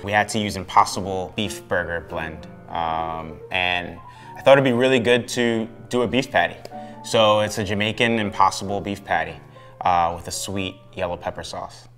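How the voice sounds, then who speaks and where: Low-voiced young man chef, earnest. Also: normal speed, fairly bright tone, faint music behind. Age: young adult. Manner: earnest, emphatic about sustainability. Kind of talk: documentary interview. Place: living room of a residence. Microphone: lapel.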